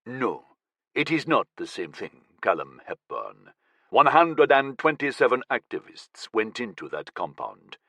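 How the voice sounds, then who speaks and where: A man voicing a cold, menacing militant leader who speaks slowly in a low, dangerous tone. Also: sing-song, sharp tone, dry recording, full character voice.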